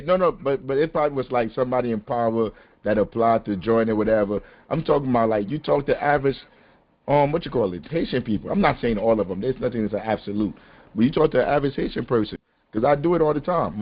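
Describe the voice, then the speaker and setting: A man hosting a podcast, talking casually and with animated emphasis. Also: mid-range voice. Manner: animated, conversational, rising to exasperated emphasis. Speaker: podcast host. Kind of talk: casual discussion on a call-in podcast. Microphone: phone or conference call. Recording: dull lo-fi call audio.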